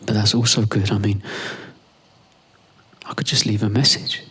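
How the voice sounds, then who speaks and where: A man speaks a reflective monologue in a very husky, breathy voice. It sits a little low, and he moves hesitantly through short, conversational phrases, as if thinking aloud. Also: fairly even intonation, normal speed, sharp tone. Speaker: performer. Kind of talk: performed spoken monologue, conversational.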